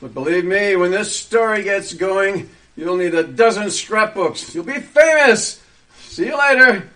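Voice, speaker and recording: Excitable, high-pitched small-town promoter played by a man. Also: a little slow, heavily acted. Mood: excited. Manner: exclamatory, showy, hustling. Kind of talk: community radio theater acting.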